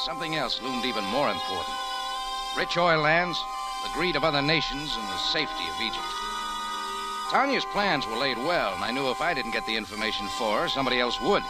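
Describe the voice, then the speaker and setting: A man's voice: medium pitch, lightly acted, low-quality recording. An American actor playing a wry, tough male private detective, clipped and wisecracking, in a 1940s radio drama. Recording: old radio recording with music behind.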